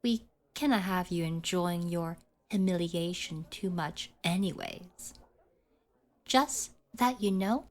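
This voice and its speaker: A woman's voice: medium pitch, a little mellow. A woman playing a smug school bully's sidekick in an ASMR roleplay, teasing and belittling in a mock-sweet way.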